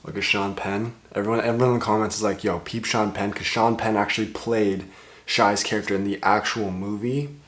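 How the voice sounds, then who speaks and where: A man's voice: fairly low pitch, steady tone, brisk, a bit bright. A man hosting a reaction video, commenting casually and with plenty of slang.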